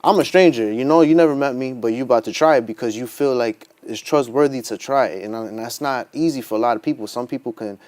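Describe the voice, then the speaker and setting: A man's voice: medium pitch, quick pace, few pauses, a little mellow. A man giving casual interview answers in a plain-spoken, informal way, often saying like and you know. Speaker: interview guest, cheesecake baker and business owner.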